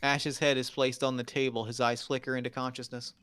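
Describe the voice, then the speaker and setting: A man's voice: medium pitch, flat delivery, a little fast, fairly bright tone, smooth. A man narrating the stage directions of a scripted drama at a table read.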